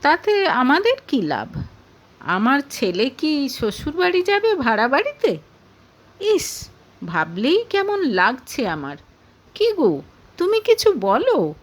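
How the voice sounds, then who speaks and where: A woman's voice: high-pitched, wildly swooping pitch, slow pace, long pauses, exaggerated voice. A woman voicing a haughty, scornful middle-aged mother in an audio story, interrogating and snapping with contempt. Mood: contemptuous.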